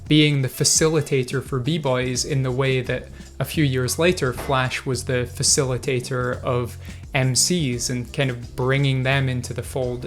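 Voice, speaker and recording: Hesitant man, mid-pitched, casual video commentary, light music underneath. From Scotland. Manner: hesitant, many fillers and restarts, thoughtful. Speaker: video host.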